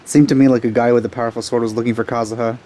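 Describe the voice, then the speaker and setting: A man's voice: medium pitch, little pitch movement, very fast, mellow, slight background noise. A man giving casual let's play commentary in a dry, laid-back way.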